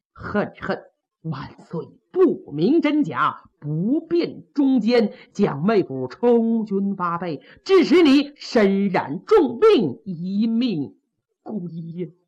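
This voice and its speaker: A woman voicing a grief-stricken royal prince who cries through a slow, drawn-out, wailing lament. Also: medium pitch, breathy edge, muffled recording, exaggerated voice. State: crying.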